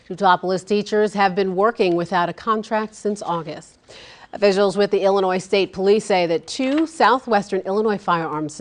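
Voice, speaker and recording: An American woman anchors a local newscast in a mid-pitched voice. She reads scripted stories as a studio presenter on evening television. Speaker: news anchor. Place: TV news studio.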